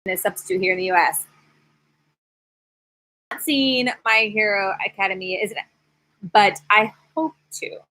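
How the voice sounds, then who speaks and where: A woman in her thirties with a bright, forward voice at an ordinary pitch. She talks in a candid, rambling way, like a host casually answering viewers on a live stream from an outdoor porch. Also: normal speed, long pauses, low-quality recording. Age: 30s. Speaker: YouTube host, former teacher.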